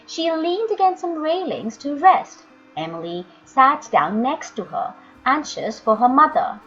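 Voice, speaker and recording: High, animated woman reading a children's story. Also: measured pace. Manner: animated, dramatic, emphatic phrasing. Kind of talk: expressive children's audiobook narration. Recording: dull lo-fi audio, music underneath.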